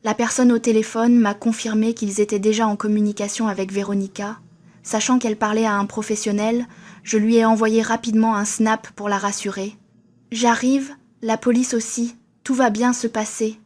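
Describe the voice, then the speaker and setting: Flat-toned woman narrating horror. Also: a little high, moderate pace. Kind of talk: scripted first-person horror narration.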